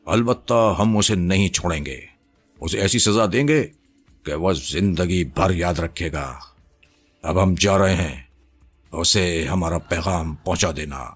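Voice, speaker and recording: A man voicing an angry, arrogant male spirit that possesses a woman, speaking slowly and threateningly in an audio story. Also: low voice, slightly rough, faint music behind. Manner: slow, angry, threatening, imperious.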